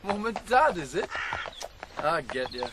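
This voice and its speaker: A man's voice: on the high side, very animated, measured pace, noisy background. A male actor playing a genial, chummy farmhand who taught a boy his trade, in a radio drama. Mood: chummy, teasing. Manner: chummy, bantering. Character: genial, teasing farmhand, the boy's teacher.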